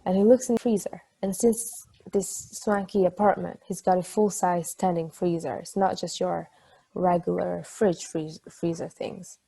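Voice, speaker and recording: Woman acting a husky, mellow, playful wife. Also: lowish voice, a little slow, exaggerated voice, low-quality recording. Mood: chatty storytelling. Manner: casual, swearing, animated storytelling. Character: playful married woman, former athlete, eager chatty storyteller. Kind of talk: table read of a stage play, natural conversational acting.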